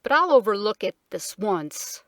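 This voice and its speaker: A woman's voice: mid-range voice, very animated, moderate pace, fairly bright tone, dry recording, studio quality. A woman voicing a drowsy, cross old king who speaks solemnly and irritably, in a storybook reading. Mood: grumpy.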